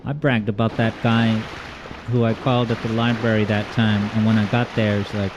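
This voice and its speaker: A man hosting a gaming livestream from home, reading viewer comments aloud in a rambling, joking, self-deprecating way, with a smooth voice. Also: lowish voice, moderate pace, muffled recording. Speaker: livestream host. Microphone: streaming mic with boosted EQ. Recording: steady background noise.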